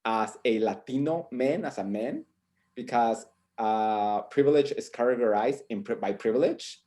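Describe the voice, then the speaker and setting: Man, professor on a video call panel, mid-pitched voice, reflective, keeps asking "right?". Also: normal speed, dark tone. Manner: reflective, tags points with "right?".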